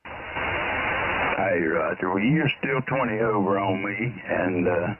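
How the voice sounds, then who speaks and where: A man's voice: deep voice, slow. A man chatting casually and folksily as an amateur radio operator, heard through a radio transmission. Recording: narrow, static-filled ham radio signal.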